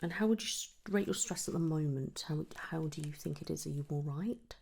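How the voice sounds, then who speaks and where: A soft-spoken woman in an ASMR medical roleplay, gently asking questions in a breathy voice that often slips towards a whisper. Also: low-pitched. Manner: gentle, unhurried questioning with pauses for answers. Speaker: ASMR performer playing a trichologist.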